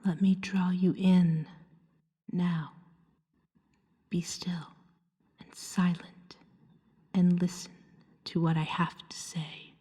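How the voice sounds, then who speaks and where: A woman playing a calm, seductive vampire in an ASMR roleplay, soothing and hypnotic, often slipping into a whisper. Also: on the low side, slow, long pauses, husky.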